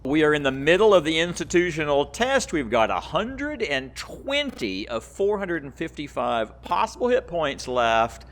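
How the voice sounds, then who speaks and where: A man's voice: fairly high pitch, very animated, moderate pace, hardly any pauses. A man giving casual gameplay commentary as host of a gaming video, musing aloud as he plays. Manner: muses aloud while playing.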